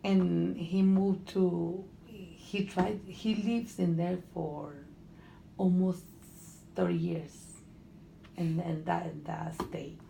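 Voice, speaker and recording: A middle-aged Honduran woman talks in slow, broken English, restarting her phrases and groping for words. Her voice sits low with heavy gravel and a soft, mellow tone. The room has a noticeable echo and faint background noise. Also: fairly even intonation. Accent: Honduran Spanish. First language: Spanish. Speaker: interviewee. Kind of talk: casual conversation.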